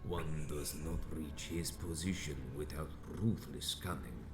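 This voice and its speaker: A menacing old vampire elder speaks in an extremely deep, grave voice. His formal, contemptuous lines echo around him over game music, as in a dark video game. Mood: measured, commanding. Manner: slow, theatrical, archaic formal phrasing. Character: ancient vengeful male vampire sect elder, proud, menacing, contemptuous.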